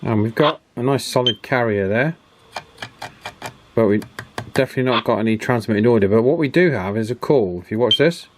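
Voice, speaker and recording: A man giving a casual hands-on repair demonstration as host, unhurried and thinking aloud through each step. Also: medium pitch, noisy background. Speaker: host of a radio repair channel.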